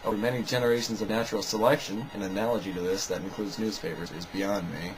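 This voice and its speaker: Low-voiced sarcastic man over music. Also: fairly even intonation, fast, poor audio. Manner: sarcastic, dismissive. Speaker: video narrator rebutting another video. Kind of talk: argumentative scripted commentary.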